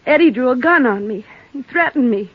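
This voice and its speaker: A woman's voice: sits high, expressive, a little fast, husky. An actress playing a cornered young woman who killed a man, in a 1950s radio drama, talking tensely and defensively. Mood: confessing. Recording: muffled 1950s radio transcription.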